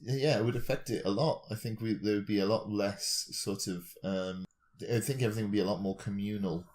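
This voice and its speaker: Low-voiced man rambling in casual chat. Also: steady tone, a little fast, darkish tone. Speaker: podcast co-host. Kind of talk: casual chat, speculative musing.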